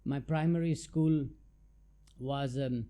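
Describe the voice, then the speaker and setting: A man's voice: mid-range voice, steady tone, slow pace, dark tone, clear, resonant tone. A middle-aged man from Nepal, a tax adviser answering interview questions in a thoughtful, hesitant way with many fillers. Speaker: guest, chartered tax adviser.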